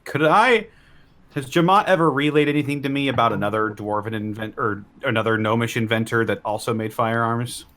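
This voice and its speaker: A man's voice: medium pitch, brisk, a bit bright. A man talking casually as a player in a tabletop role-playing game.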